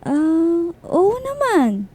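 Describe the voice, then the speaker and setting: A woman's voice: very high voice, huge pitch swings, extremely slow, mellow, exaggerated voice. A woman with a Filipino accent playing a cheerful, teasing young woman chatting with her best friend in a radio drama. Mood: happy, affectionate. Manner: playful, casual.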